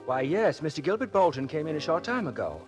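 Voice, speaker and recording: An actor playing a polite, obliging elevator attendant in a 1940s radio drama. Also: male voice, on the high side, very animated, quick pace, over music.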